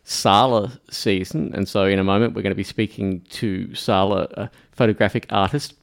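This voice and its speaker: A man's voice: fairly low pitch, steady tone, vocal fry. A man hosting an arts radio show, talking casually in a studio with lots of fillers and restarts. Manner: halting, many fillers and restarts.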